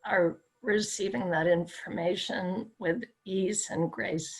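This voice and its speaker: A woman with a gravelly, husky voice repeats phrases back one by one. She is the client in a tapping session and echoes each line after it is given to her. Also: medium pitch, fairly even intonation, takes it slowly. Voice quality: crackly, raspy voice.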